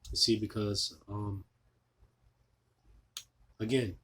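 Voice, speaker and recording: Low hoarse man preaching in halting bursts. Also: flat delivery, fairly bright tone, slight background noise. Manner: halting, many fillers and restarts. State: losing his voice. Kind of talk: informal sermon video.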